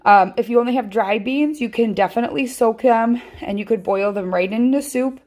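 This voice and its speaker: A woman's voice: fairly high pitch, brisk, darkish tone. A woman hosting a casual home cooking tutorial from her kitchen, rambling and chatty with lots of ums, filmed on a handheld phone. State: feels her voice sounds off. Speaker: home cooking channel host.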